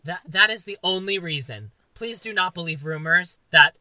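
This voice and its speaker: A young man with a very high voice, co-hosting a casual chat and joking in a campy, playfully dramatic way. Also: normal speed, pushed and bright, no echo. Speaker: podcast co-host.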